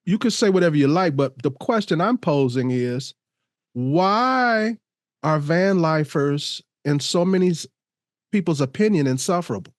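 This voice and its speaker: A man's voice: a little high, expressive, normal speed, no room sound, clean studio sound. A man co-hosting a casual podcast, a little congested, laughing often and reading an article aloud. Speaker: podcast co-host. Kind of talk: casual podcast chat over video call.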